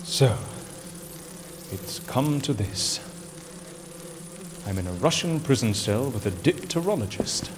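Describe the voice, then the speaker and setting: An actor playing a witty, pompous prisoner in a comedic audio drama, theatrical and sarcastically polite. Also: male voice, mid-range voice, big pitch swings, slow pace, pauses often, background noise. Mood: droll, wry. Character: witty, pompous, exasperated male prisoner and schemer.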